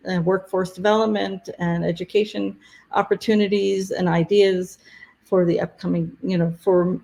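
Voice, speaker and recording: Woman presenting a webinar, hoarse. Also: medium pitch, mellow. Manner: halting, many fillers and restarts. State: losing her voice. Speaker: conference program presenter.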